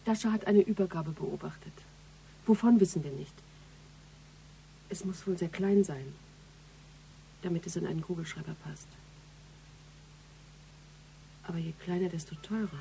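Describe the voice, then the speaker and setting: An actress playing a composed police investigator in a radio crime drama, measured, matter-of-fact and probing. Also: female voice, lowish voice, creaky, dark tone, slight background noise, low-quality recording. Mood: thoughtful.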